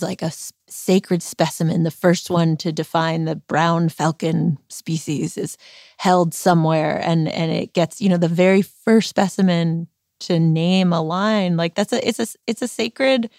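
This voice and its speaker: A woman's voice: on the low side, a little mellow, no echo, studio quality. A woman hosting in a casual, conversational way, thinking aloud as she goes. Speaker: radio show host.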